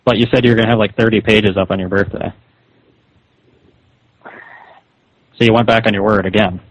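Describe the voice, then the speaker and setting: A man's voice: on the low side, steady tone, normal speed, dry recording. Insistent scolding man on a muffled call. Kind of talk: confrontational phone conversation. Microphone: telephone call. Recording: dull lo-fi phone call recording.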